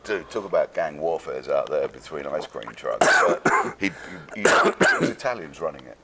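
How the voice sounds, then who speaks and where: A man from London with a London English accent tells stories casually as an interview guest, rambling and halting in a streetwise, sweary way. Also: rumbling low, very animated, gravelly, heavy background noise. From London, England.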